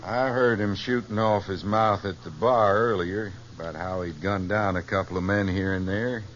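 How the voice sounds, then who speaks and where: Seasoned trail hand, American, fairly low, casual, folksy, radio western, dull old recording. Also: male voice, normal speed, fairly bright tone. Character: plainspoken, seasoned male cowhand. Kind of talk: 1950s radio western acting. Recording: muffled old radio recording, faint noise.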